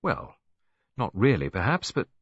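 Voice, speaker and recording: A man voicing a headstrong young English woman, quick, sharp and defiant, in an audiobook story. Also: fairly low pitch, wildly swooping pitch, husky, dry recording, lo-fi sound, full character voice. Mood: defiant, unsure.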